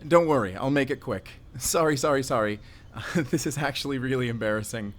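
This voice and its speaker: A male actor plays a friendly, bird-loving dad from across the street in an intimate audio drama. His voice sits at an ordinary middle pitch. He rambles nervously and apologetically, with an awkward, natural delivery. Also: brisk, slight background noise, natural voice. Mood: flustered, apologetic. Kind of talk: naturalistic audio roleplay acting addressed to the listener.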